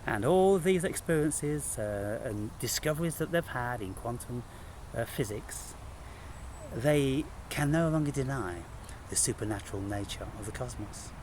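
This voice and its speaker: A man giving an unscripted spiritual monologue, speaking in halting, emphatic phrases with deliberate pauses. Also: medium pitch, lively intonation, darkish tone, a little hiss or hum. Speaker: spiritual vlogger and author.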